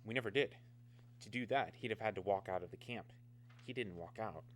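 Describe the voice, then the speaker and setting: An actor in a radio drama playing a businesslike security officer, clipped and matter-of-fact. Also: male voice, on the low side, brisk, lightly acted. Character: businesslike male security officer at atomic research camp.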